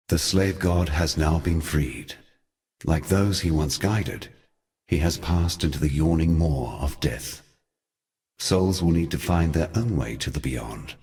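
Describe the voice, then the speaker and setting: Very deep, solemn ancient god. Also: male voice, heavily acted. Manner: solemn, eloquent, theatrical delivery. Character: ancient male god, grave, sorrowful, brooding mentor. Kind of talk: scripted fantasy game monologue.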